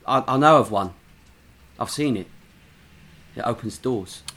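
A man's voice: mid-range voice, a little slow, a bit bright. A man doing a stammering, frightened drifter. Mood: nervous, shaken. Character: nervous drifter who saw something horrifying.

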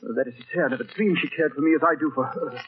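An actor playing an earnest, affable, lovestruck young English gentleman in a 1940s radio drama. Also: fairly high pitch, fast, natural voice. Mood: earnest, anxious. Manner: eager, earnest. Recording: tinny, noisy vintage radio recording.

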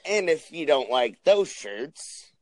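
A hoarse young man co-hosting a wrestling podcast, joking, swearing and rambling through plugs. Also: a little high, big pitch swings, slow. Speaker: podcast co-host. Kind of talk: casual chat.

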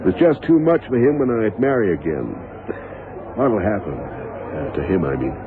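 A man playing a weary middle-aged father in a radio drama, halting and subdued. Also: on the low side, big pitch swings, a crowd in the background. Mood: rueful, subdued. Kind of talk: 1970s radio drama acting. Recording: phone-like old radio, noisy background.

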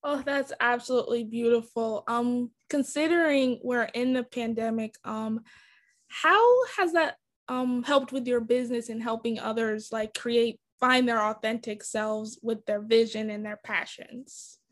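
A woman's voice: on the high side, unhurried. A woman hosting a podcast, asking polite and friendly questions with a few fillers. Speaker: podcast host.

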